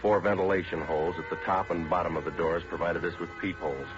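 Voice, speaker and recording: A man acting a terse, level-headed police detective in a 1950s radio drama, clipped, matter-of-fact and deadpan. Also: sits low, quick pace, music in the background, lightly acted. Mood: dry, factual. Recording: dull old radio recording, background noise.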